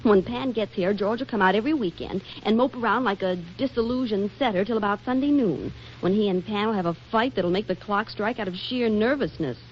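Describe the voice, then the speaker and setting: An actress playing a flippant, scheming, exasperated wife in a 1940s radio comedy, delivering wisecracks with arch drama. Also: female voice, mid-range voice, fairly quick, hardly any pauses, close to an everyday voice. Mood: wry exasperation. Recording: dull, faintly noisy 1940s radio recording.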